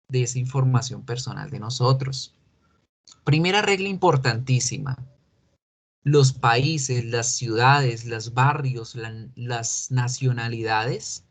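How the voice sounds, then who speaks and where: A man speaking as an English teacher, explaining a recorded lesson patiently and repeating key words slowly. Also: mid-range voice. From Colombia.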